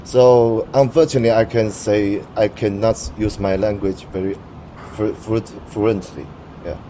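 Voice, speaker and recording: A man's voice: on the low side, fairly even intonation, dark tone, slight background noise, low-quality recording. A young Taiwanese man whose first language is Mandarin, answering interview questions in English and stopping often to restart his words. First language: Mandarin Chinese. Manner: halting, searching for words with frequent restarts.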